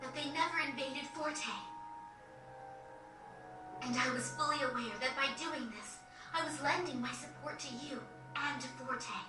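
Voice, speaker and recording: Game heroine: young noblewoman, earnest, formal delivery, high voice, music underneath. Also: measured pace, pauses often. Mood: earnest pleading. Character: earnest noble young woman pleading for peace. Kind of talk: dramatic video game cutscene acting. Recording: echoey, distant, music behind.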